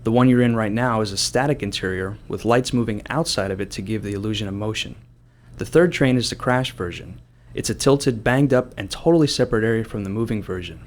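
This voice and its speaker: A man's voice: lowish voice, a little fast, slight background noise. A man giving scripted commentary as a game developer.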